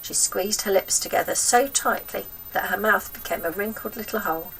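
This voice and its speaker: A woman narrating a children's story in an expressive, scripted reading style. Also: mid-range voice, moderate pace. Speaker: storybook reader. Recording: slightly distant mic, faint noise.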